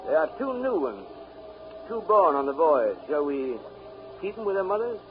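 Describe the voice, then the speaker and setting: A coolly callous official, talking business in a dry way. The voice is high for a man. It sounds like 1930s radio drama, dull with a little noise behind. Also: very animated, normal speed. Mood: businesslike.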